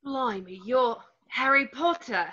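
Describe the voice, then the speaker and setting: A mischievous teenage wizard twin, read by a woman at a table read. The voice is high and bright with a cheeky delivery, on slightly lo-fi audio. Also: slow, exaggerated voice. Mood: excited. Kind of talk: online table read of a screenplay.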